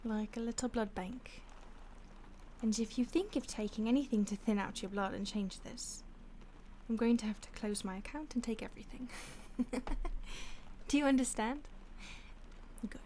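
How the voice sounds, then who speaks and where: A woman voicing a mocking, predatory female vampire stalker who talks down to her victim, soft-spoken and slipping into whispers. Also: a little high, measured pace, long pauses, a little hiss or hum, natural voice. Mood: coolly threatening. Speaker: audio roleplay voice actor. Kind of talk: audio roleplay acting.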